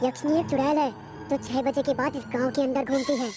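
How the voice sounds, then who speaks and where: A woman voicing a wary village man in an animated horror story, emphatic and cautionary. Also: high-pitched, fairly quick, music in the background, voice pushed up, lightly acted. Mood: grave warning. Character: wary village man giving grave warnings.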